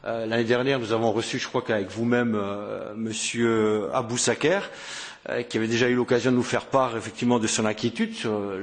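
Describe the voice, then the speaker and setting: A man hosting a press conference, speaking in a halting way with many fillers and repeated words. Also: mid-range voice. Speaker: press conference host, member of parliament. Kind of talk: hesitant spoken welcome speech. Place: press room.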